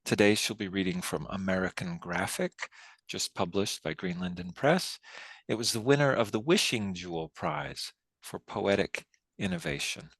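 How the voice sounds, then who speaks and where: A man hosting and moderating a literary event, giving a prepared introduction in a formal, measured way. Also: on the low side, vocal fry, a bit bright, breathy edge, dry recording, poor audio. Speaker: host and moderator of a poetry reading. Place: online video event.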